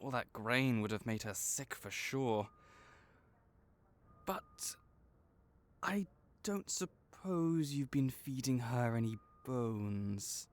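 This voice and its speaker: A man playing a gentle, caring vet for magical creatures in an audio roleplay, speaking in a measured, reassuring, explanatory way. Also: medium pitch, expressive. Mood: concerned, explaining. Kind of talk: soft-spoken one-sided comfort roleplay.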